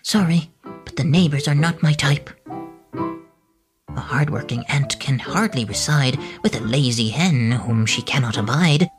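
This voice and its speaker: A woman's voice: very deep voice, expressive, measured pace, music in the background. A woman voicing a fussy, snobbish female ant from a storybook who complains about the neighbors. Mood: griping. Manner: prim, complaining. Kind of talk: storybook reading.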